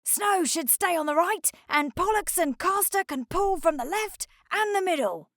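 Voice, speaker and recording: A woman's voice: very high voice, lively intonation, normal speed, fairly bright tone, no echo, studio quality, voice pushed up, full character voice. A woman voicing a male hydrocopter, a drowsy, easily startled vehicle character in a children's storybook. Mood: rallying.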